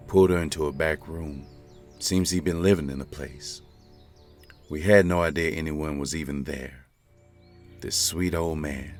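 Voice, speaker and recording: A man playing a hardened, haunted father surviving a zombie apocalypse in a comic dub drama. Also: rumbling low.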